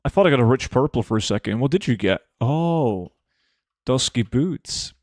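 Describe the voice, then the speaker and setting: A young man streaming a game, chatting casually and answering viewer questions in a relaxed, offhand way. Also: mid-range voice, normal speed, no room sound, clean studio sound. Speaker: gaming streamer reading chat. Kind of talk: casual live gameplay chat.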